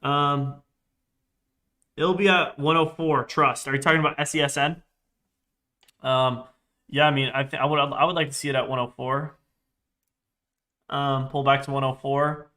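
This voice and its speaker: A man's voice: medium pitch, level tone, normal speed, long pauses, pushed and bright. A young man with a congested, stuffy voice, chatting casually on a live trading stream, rambling and correcting himself as he goes. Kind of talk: live-stream trading chat, reading chat aloud.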